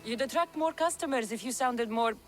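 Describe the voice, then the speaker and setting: A woman's voice: fairly high pitch, a little fast, close to an everyday voice. An actress playing a dry, sardonic female mercenary in a video game. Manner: dry, wry, deadpan remarks. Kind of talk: video game cutscene acting.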